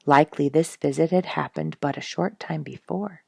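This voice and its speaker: A woman reading a nature storybook aloud in an expressive, measured, dramatic storytelling manner. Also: low-pitched, gravelly, slightly rough, no room sound. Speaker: audiobook reader and show host.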